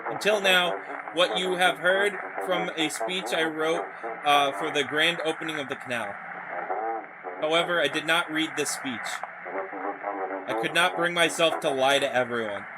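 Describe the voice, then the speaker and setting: A man voicing a solemn former diplomat and canal developer in formal, measured oratory, reading a horror story aloud. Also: fairly high pitch, heavy background noise, lightly acted. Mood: grave, confessional.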